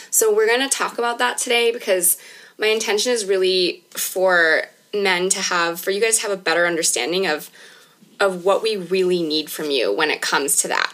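Woman in her 30s hosting a podcast, chatty and candid, normal pitch, casual talk. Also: fairly bright tone. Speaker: podcast host.

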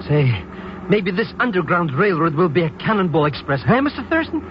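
A cheeky small-time crook, really high swoopy voice, chirpy and slangy, old muffled noisy radio show. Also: male voice, big pitch swings, fairly quick. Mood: cocky, chirpy. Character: eager, cheeky small-time crook and informer, tagging along. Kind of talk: 1950s radio drama acting.

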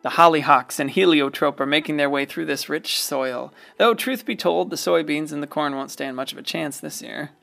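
Radio play narrator: folksy, wistful small-town storyteller, higher-pitched voice with fry, music underneath. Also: male voice, moderate pace, few pauses, fairly bright tone.

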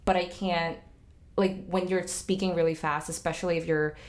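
A young woman with a slightly low-pitched voice chats casually on a podcast. Her first language is Cantonese, and she talks with many fillers, restarts and easy laughter. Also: steady tone, moderate pace. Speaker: podcast guest. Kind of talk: casual chat.

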